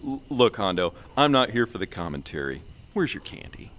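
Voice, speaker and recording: A man's voice: on the low side, big pitch swings, moderate pace, background noise. A man acting in a radio play as a skeptical grumbler with a sarcastic, incredulous manner. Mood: disappointed, indignant. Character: grumbling, skeptical man trick-or-treating in costume.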